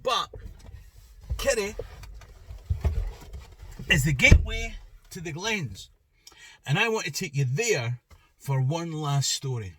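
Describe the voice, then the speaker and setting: A man's voice: a little high, animated, takes it slowly, pauses often. Scottish male history guide for a travel video, conversational delivery with a wry touch. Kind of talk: history travel storytelling to camera. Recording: noisy outdoor location, traffic noise.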